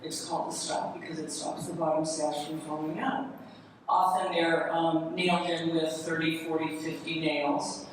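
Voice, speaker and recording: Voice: medium pitch, level tone, moderate pace. Distant, very echoey presenter in a hall. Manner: explanatory, defining each part as it is shown. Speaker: presenter, window restorer. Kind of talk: live hands-on demonstration talk to an audience. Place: large echoey room in a city hall. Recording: echoey hall, distant, noisy live event recording.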